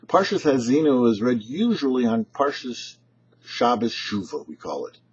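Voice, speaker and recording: Male rabbi, show host: markedly husky voice, animated pitch, didactic measured reading of religious commentary, slightly muffled lo-fi sound. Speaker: rabbi, host of a weekly Torah portion show. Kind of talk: short scripted religious commentary, read aloud. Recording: dull, lo-fi, muffled audio.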